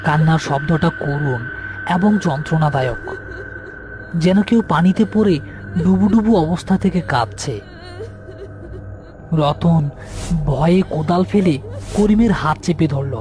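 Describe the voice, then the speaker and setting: Guy narrating a horror story, voice kinda high, music behind him. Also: measured pace, pauses often, a little mellow. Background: background music.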